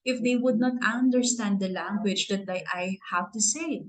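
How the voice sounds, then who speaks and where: A woman with a Filipino accent speaks earnestly in a mid-pitched voice. She talks like a motivational speaker, with a gentle preachiness, and she stops now and then to ask her listeners rhetorical questions. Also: moderate pace. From Philippines. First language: Tagalog. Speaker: guest speaker. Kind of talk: motivational talk.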